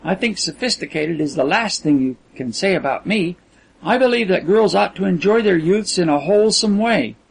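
Storybook role: prim, vain teenage rich girl played by a male narrator, raised pitch, slightly husky, artless and earnest. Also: expressive, normal speed, exaggerated voice. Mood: earnest, insistent. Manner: earnest, girlish, artless chatter.

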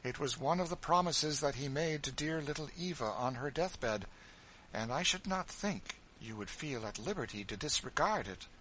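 Man voicing an earnest middle-aged spinster, energetic, pleading, high, bright. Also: normal speed, a little hiss or hum, rough recording. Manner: earnest, pleading, raised pitch.

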